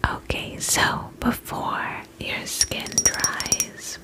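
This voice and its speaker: Slow, whispering woman in ASMR style. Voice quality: soft breathy whisper. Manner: gentle, soothing, unhurried reassurance with soft repetitions. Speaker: ASMR creator playing a spa attendant. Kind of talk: ASMR roleplay, personal attention.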